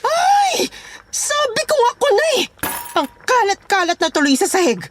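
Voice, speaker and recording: A woman's voice: very high voice, wildly swooping pitch, normal speed, full character voice. Woman playing a bossy secretive boss lady, snappy and commanding, voice jumps around a lot, bit of noise, horror drama. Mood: irritated. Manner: curt, commanding. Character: bossy, secretive, short-tempered female employer. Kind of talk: audio horror drama acting.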